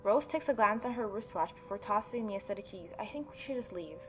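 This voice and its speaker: A woman's voice: mid-range voice, lively intonation, fast. A woman reads a visual novel aloud as a let's play host. She slips between narration and informal, reactive commentary. Light music plays underneath. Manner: chatty, reactive, informal asides.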